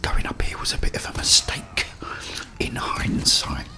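Whispering middle-aged English man. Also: measured pace. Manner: muttering reactions, addressing game characters. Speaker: gaming ASMR host. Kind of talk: whispered ASMR game commentary.

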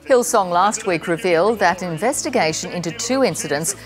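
A woman's voice: lowish voice, lively intonation. Woman reading news over music. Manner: measured, formal delivery. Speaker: news reporter. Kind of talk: news report.

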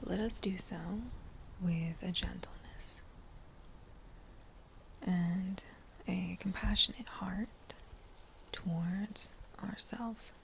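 A woman leading a guided meditation in a gentle, breathy near-whisper, speaking in short unhurried phrases with pauses between them. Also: on the low side, steady tone, slight background noise, poor audio. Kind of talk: slow guided meditation narration.

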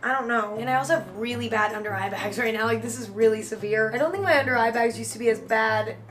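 A woman's voice: fairly high pitch, fast talker, a bit bright, slight background noise. A young woman from Northern California, chatting casually and self-mockingly to camera as a vlogger in a hotel room, with lots of "like" fillers. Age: young adult. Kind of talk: casual vlog chat.

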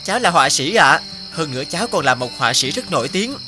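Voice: mid-range voice, sing-song, quick pace, lightly acted. An actor voicing a proud young male painter in a cartoon dub, polite but boastful. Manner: polite, self-satisfied. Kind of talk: cartoon dubbing. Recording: music behind the voice.

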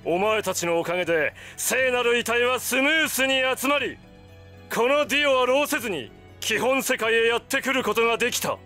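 A man voicing an arrogant, godlike vampire villain in a Japanese video game, with grandiose, drawn-out taunts and bursts of shouting. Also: very high voice, lively intonation, faint music behind, full character voice. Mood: gloating triumph.

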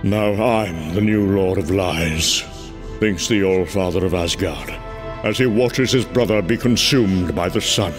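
Slow, grave male story narrator. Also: deep voice, over music.

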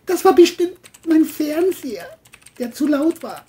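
Woman putting on a squeaky, mock-polite male killer. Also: very animated, measured pace, dark tone. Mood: falsely cheerful. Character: polite, brazenly lying male killer, high-voiced.

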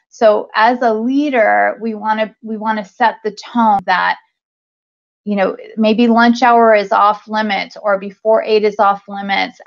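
A woman's voice: medium pitch, gravelly. A woman in her thirties giving a conference talk over slides, speaking conversationally with many ums and restarts, via a webcam on a video call. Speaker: conference speaker, talent acquisition leader. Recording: dull lo-fi video call audio.

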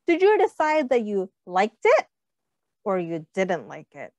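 A woman teacher reading a picture book aloud to children, pausing to ask them questions and putting on small voices for the characters, speaking into a webcam. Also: medium pitch, no room sound, dull audio. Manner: animated, asks children questions, does little character voices.

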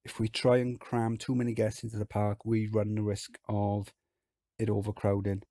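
A man with a low, gravelly, husky voice, hoarse and croaky from being unwell. He talks through his game in a relaxed, chatty way, and the sound is dry and close. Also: moderate pace, no room sound. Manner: relaxed, chatty, explains as he plays. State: hoarse from illness. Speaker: game video host. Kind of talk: casual game commentary.

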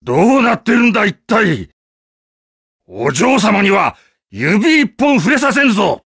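An actor playing a stern, loyal middle-aged bodyguard in an anime game, gruff and blustering. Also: male voice, high-pitched, big pitch swings, measured pace, a bit bright, no echo, studio quality, heavily acted. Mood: gruff, alarmed. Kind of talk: anime game battle lines.

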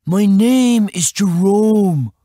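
Storybook teen boy, done by a man: raised pitch, unhurried, fed up, plain-spoken. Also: warm tone, no room sound, studio quality. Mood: exasperated. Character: tall teenage boy, exasperated and matter-of-fact. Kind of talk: audiobook character acting.

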